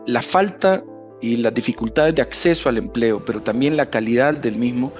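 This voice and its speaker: A man's voice: mid-range voice, normal speed, faint music behind. A man giving formal, articulate expert analysis in an interview, building emphatic lists.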